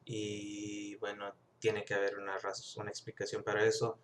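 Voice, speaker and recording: A man's voice: lowish voice, monotone, normal speed, slight background noise. A young man from the United States with a Mexican-influenced Spanish accent, talking through a class reading in a reflective vlog, with many fillers and restarts. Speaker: student.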